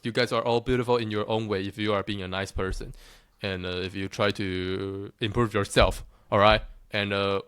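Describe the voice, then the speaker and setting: A man's voice: lowish voice, a bit bright, clear, resonant tone, no room sound, clean studio sound. A man from Taiwan with a Taiwanese accent, talking casually and self-assuredly to his followers, joking and often restarting his sentences. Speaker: looksmaxxing content creator.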